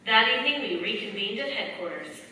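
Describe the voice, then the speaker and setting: Woman playing war heroine narrating her story, radio play, forceful voice, far from mic w echo. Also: mid-range voice, expressive, a little fast. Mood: matter-of-fact. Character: wartime heroine narrator recounting her exploits. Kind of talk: scripted first-person radio play narration. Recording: distant, echoey hall stage recording, faint noise.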